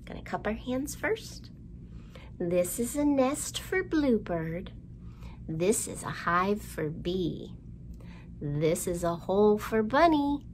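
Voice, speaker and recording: Middle-aged female storytime host, very varied pitch, child-directed delivery, some clear background noise. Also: very animated, slow. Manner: simple child-directed talk with questions and exaggerated delivery. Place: indoor room, seen at a storytime backdrop.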